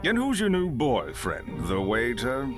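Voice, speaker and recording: An actor playing a pompous, scornful toad crime boss in a theatrical, gloating, grandiose manner for a video game. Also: male voice, medium pitch, huge pitch swings, over music. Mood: smug menace. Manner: grandiose, pun-loving, deliberate.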